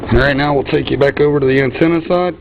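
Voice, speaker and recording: A man's voice: medium pitch, fast, creaky. A man giving a casual, folksy product demonstration of radio gear, using trucker slang. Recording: muffled, loud noisy radio hiss.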